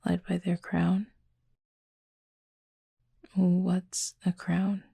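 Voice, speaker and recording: A woman voicing a gentle, formal cupid roommate in an intimate roleplay audio, soft, breathy and husky, speaking in a measured, earnest way. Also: on the low side, completely flat delivery, no echo, heavily acted. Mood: curious, reserved.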